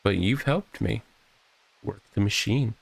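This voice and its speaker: A man's voice: lowish voice, creaky, slightly rough, no room sound, full character voice. A man voicing a needy, self-pitying police officer from a visual novel, stammering and repeating himself. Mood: accusing, intense. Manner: halting, stammering, repetitive.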